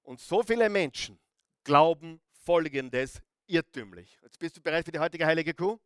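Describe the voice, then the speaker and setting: A man's voice: fairly high pitch, expressive, normal speed, fairly bright tone, smooth, no room sound, studio quality. A man preaching a sermon as a pastor, putting rhetorical questions to his listeners and repeating key phrases with emphasis.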